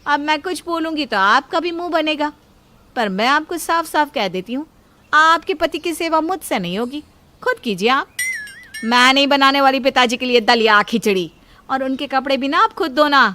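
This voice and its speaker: Lady doing a nagging, scornful young bahu in a moral story, high and sharp-tongued. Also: lively intonation, moderate pace. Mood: defiant scolding. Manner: taunting, complaining.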